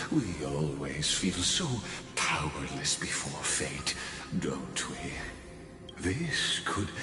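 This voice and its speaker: A man voicing a grandiose, scheming scholarly villain for a video game, speaking in a measured, theatrical way with lingering pauses. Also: extremely low pitch, full character voice. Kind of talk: dramatic video game voice acting. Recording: echoey hall reverb, music underneath.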